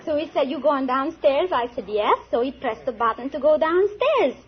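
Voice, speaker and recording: A woman from Egypt, a quiz show contestant, telling a story in a giggly, unhurried way. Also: sits high, expressive. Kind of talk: casual storytelling to a host. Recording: muffled 1950s broadcast with hiss.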